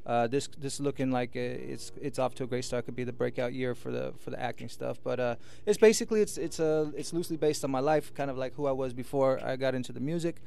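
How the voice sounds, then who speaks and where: Gravelly-edged, clear-voiced American man chatting. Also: mid-range voice, fast, hardly any pauses, a little mellow. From Sacramento, California, USA. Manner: earnest, rambling, often adds "you know what I mean". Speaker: guest musician and promoter. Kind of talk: casual radio interview chat. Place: radio station studio.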